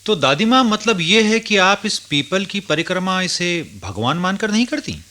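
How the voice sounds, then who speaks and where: A man acting a respectful, curious grandson in a Hindi radio drama, speaking and narrating in an earnest, deliberate way. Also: high-pitched, sing-song, sharp tone, heavily acted. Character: respectful, curious grown grandson who narrates his memories.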